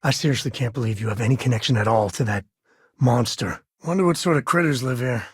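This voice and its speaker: A man playing a rugged frontier space explorer in a video game, a wry, plain-spoken former ranger with a folksy way of talking and a husky voice. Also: mid-range voice, fast talker, no room sound, studio quality. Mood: bitter, indignant, then warm, relaxed. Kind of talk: game character dialogue.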